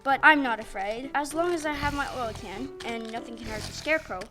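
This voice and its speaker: A woman acts out a gentle tin man with a high voice. The speech is measured, polite and earnest, in the manner of a stage audio play. Music plays underneath. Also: lightly acted, low-quality recording.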